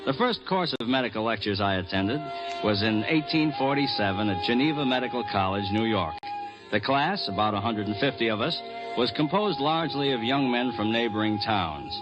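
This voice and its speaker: An actor playing a wry, bookish young medical student in an old-time radio drama, with a dry, genteel manner. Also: male voice, medium pitch, lively intonation, normal speed, close to an everyday voice. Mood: wry, reminiscing. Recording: muffled old radio recording with music.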